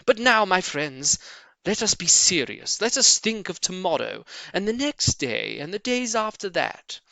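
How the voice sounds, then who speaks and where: A jovial, confident fox leader played by a male reader addresses his friends in grand storybook speeches. The voice is bright, animated and lifted, with a rousing orator's swing. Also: sits high, unhurried, creaky, dry recording, pitched above the performer's own voice, heavily acted. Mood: earnest, persuasive.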